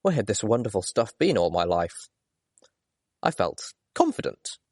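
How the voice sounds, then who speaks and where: A man narrates a first-person story in a fairly low voice. His reading is expressive, in audiobook fashion, and the recording is dry with little room sound. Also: moderate pace, no room sound. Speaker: audiobook reader.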